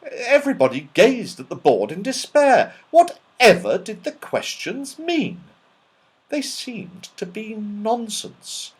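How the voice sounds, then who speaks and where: A man narrates a children's story in an expressive, dramatic way. His pitch rises and falls widely, and he takes his time over the scripted text. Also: slow pace, breathy edge. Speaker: audiobook reader.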